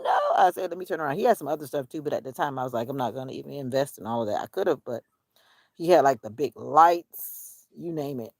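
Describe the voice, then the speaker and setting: A woman's voice: a bass voice, darkish tone, no room sound. A woman vlogging casually outdoors, musing in a rambling way to herself and her viewers. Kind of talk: casual outdoor vlog chat. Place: outdoors on a nature walk.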